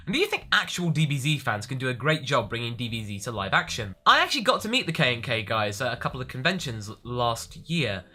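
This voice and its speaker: Animated, bright-voiced man vlogging. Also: mid-range voice, fast talker, hardly any pauses. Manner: enthusiastic, animated. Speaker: online vlogger. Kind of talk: casual question-and-answer vlog.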